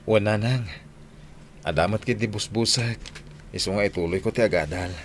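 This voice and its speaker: A man's voice: lowish voice, normal speed, creaky, slight background noise. An actor playing an earnest, forgiving young male graduate in a radio drama, speaking conversationally with an Ilocano Filipino accent. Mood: dutiful, earnest. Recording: music behind dialogue.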